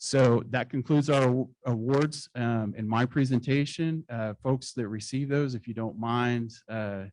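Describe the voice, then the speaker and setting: A man presenting awards at a conference, reading citations in a formal, measured way with occasional slips. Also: mid-range voice, a little mellow. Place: conference hall stage.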